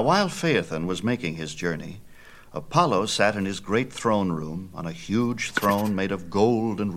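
A man reading a scripted mythology story aloud in a dramatic, measured manner. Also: low voice, a bit bright.

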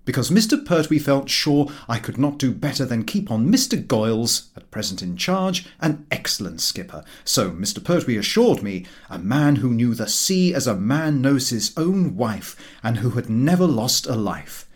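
Casting: male audiobook narrator, very animated intonation, dry comic storytelling, mid-range pitch. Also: normal speed, few pauses. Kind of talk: scripted narration of a comic novel.

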